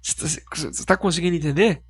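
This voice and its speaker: Husky, emphatic Southern Brazilian man. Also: sits high, racing. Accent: Southern Brazilian Portuguese (Rio Grande do Sul). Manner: emphatic, asks rhetorical questions. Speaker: business owner guest. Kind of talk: casual podcast conversation.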